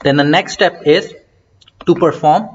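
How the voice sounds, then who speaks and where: A man giving a step-by-step software tutorial over a screen recording, talking in an explanatory way with frequent hesitant fillers. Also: on the high side, unhurried. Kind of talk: screen-recorded coding walkthrough. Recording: dull lo-fi mic.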